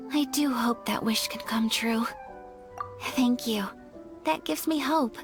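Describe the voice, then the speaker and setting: High-voiced, gentle young noblewoman. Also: slow. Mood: wistful. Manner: polite, soft-spoken, poetic. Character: refined, gentle young noblewoman, sincere and wistful. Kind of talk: video game character acting. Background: faint music.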